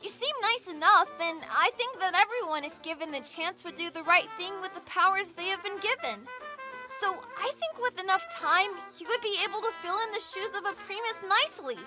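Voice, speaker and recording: A woman's voice: very high voice, lively intonation, fairly quick, over music. A woman playing an earnest, upbeat young girl in a fantasy audio drama, speaking in an eager, encouraging way.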